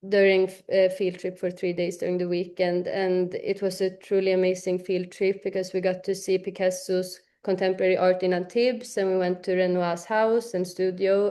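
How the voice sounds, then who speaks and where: A woman student talking about her professors at a university event, with many pauses and fillers. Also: medium pitch, warm tone. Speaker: student speaker.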